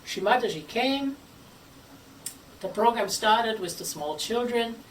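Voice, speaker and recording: A woman telling a story in a theatrical, scripted way, with dramatic pauses and playful asides. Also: on the high side, expressive. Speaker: theatre company member telling its history. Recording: faint background noise, distant microphone.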